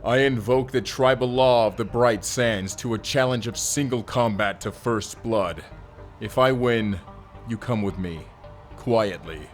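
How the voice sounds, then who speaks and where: A man's voice: mid-range voice, unhurried, faint music behind, close to an everyday voice. A man playing a stoic, solemn barbarian warrior in a cyberpunk audio roleplay, speaking tersely and formally. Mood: resolute. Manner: formal, terse, avoids contractions. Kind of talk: first-person audio roleplay acting.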